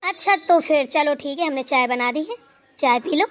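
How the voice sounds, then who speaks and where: A woman's voice: sits high, normal speed, a bit bright. A woman voicing an elderly mother-in-law who rambles through stories and scolds playfully. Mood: chatty. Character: elderly mother-in-law, chatty, teasing, fond.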